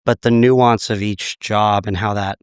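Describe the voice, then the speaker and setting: A man in his late thirties, a podcast guest, slightly raspy from a recent illness, talking in a relaxed, reflective way. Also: on the low side, measured pace, dry recording, clean studio sound. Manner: relaxed, reflective, many "like" fillers. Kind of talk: casual interview chat.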